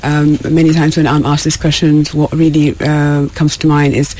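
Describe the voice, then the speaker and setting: A woman from South Sudan speaking as an interview guest, halting and often searching for words. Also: low-pitched, little pitch movement, a little mellow, slight background noise. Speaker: guest, education program worker. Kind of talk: radio interview.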